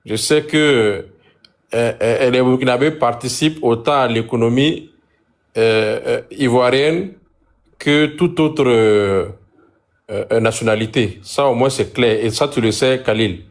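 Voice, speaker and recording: A man speaking on a live stream about politics, hesitant and halting with repeats. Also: mid-range voice. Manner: hesitant, many fillers and repeats. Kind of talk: political discussion.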